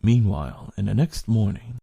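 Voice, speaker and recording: A man's voice: lowish voice, animated, normal speed, gravelly, dark tone, slightly rough, highs cut off, full character voice. A man performing as a plain storytelling narrator, reading scripted narration for an audio drama. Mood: matter-of-fact.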